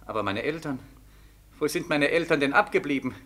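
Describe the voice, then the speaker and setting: Plaintive homecoming soldier, bright tense voice. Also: male voice, fairly high pitch, lively intonation, fairly quick. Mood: desperate, grieving. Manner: insistent, repetitive questioning. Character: homecoming soldier back from Siberia, bewildered and desperate. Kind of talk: 1940s German radio drama acting.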